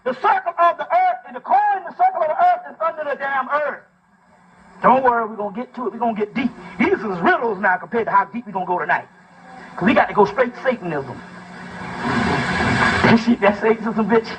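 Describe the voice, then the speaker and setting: A man with a very high-pitched voice delivers a fiery, informal lecture. He reads from a text and breaks it down emphatically, swearing freely and throwing out rhetorical questions like a preacher. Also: fairly quick, a bit bright, husky, poor audio.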